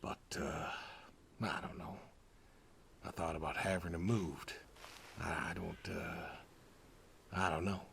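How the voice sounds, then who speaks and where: An actor playing a lonely, aging pig farmer, gentle and resigned, speaking hesitantly and wistfully in a naturalistic game performance. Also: male voice, deep voice, big pitch swings, takes it slowly, vocal fry, long pauses, a little hiss or hum, heavily acted. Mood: sorrowful. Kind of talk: naturalistic narrative game voice acting.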